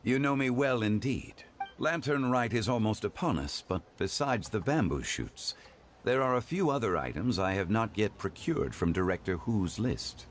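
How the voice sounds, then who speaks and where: Male actor as dignified, courteous video game gentleman. Low voice, expressive melody, formal measured phrasing. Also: slight background noise, close to an everyday voice. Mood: pleased, courteous. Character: refined, courteous, knowledgeable gentleman with calm old-world dignity.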